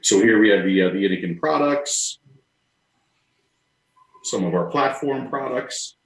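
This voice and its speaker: A man's voice: lowish voice, expressive, measured pace, creaky. A man touring a showroom on camera, talking casually. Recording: echoey room.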